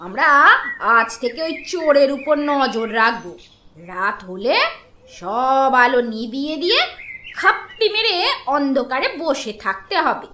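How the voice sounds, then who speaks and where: A woman's voice: high voice, big pitch swings, measured pace, sharp tone, slight background noise, full character voice. A woman playing a feisty yet anxious village farmer's wife in a Bengali fairy-tale cartoon, exclaiming theatrically. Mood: resolute.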